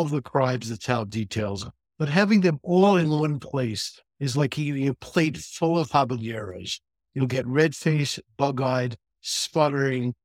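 A man's voice: medium pitch, no room sound. Male interview host, dry studio-like sound. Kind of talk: scripted introduction and interview questions.

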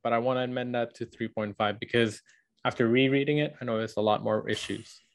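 A man co-hosting a book podcast, chatting casually and defending his opinions in an animated, playful way. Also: medium pitch, brisk, gravelly.

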